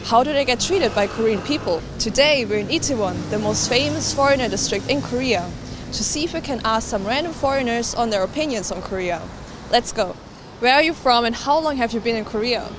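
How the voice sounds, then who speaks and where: A woman's voice: on the high side, animated, a little fast. A woman hosting a street interview, asking passers-by questions in an upbeat, friendly way. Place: outdoors on a busy street. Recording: outdoor street noise.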